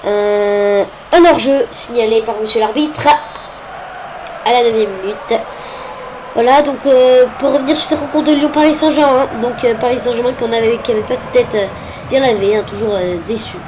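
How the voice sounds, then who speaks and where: A woman's voice: on the high side, moderate pace, background noise. A woman with a head cold commenting on a football video game, hesitant and full of filler sounds. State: has a cold.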